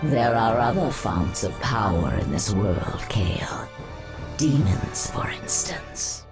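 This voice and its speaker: A woman's voice: a bass voice, lively intonation, slow pace, exaggerated voice. A woman playing a cunning, seductive serpent sorceress in a fantasy game, speaking in a formal, coaxing manner. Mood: tempting. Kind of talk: fantasy game cutscene dialogue. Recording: dramatic music behind.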